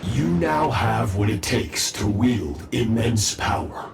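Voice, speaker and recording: An actor playing a cold, imperious armored abyssal master in a video game, with solemn, grandiose pronouncements. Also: male voice, mid-range voice, lively intonation, slow, vocal fry, noisy background, heavily acted. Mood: stern, commanding. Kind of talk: dramatic video game voice acting. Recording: echoing voice over game music.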